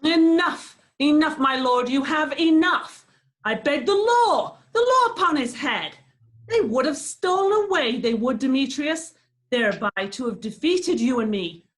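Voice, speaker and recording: Woman as a stern old father, high and animated. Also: a little slow. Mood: outraged. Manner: insistent, formal. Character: stern, aggrieved old father demanding the law. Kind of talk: Shakespeare table read. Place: video call.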